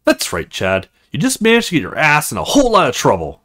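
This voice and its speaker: Casting: man playing no-nonsense female officer in a visual novel game, stern and firm, lively intonation. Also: on the high side, fast talker. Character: stern, sensible woman in command.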